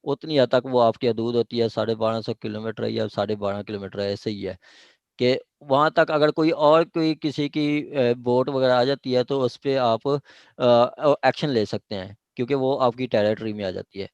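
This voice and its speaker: Male law lecturer, Pakistani accent, mid-range pitch, explanatory and repetitive, English terms mixed in, very little room sound. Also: moderate pace, clear, resonant tone, dry recording, lo-fi sound. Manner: explanatory, repeats points, mixes in English legal terms. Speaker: law teacher. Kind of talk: informal lecture explaining statute clauses to a class.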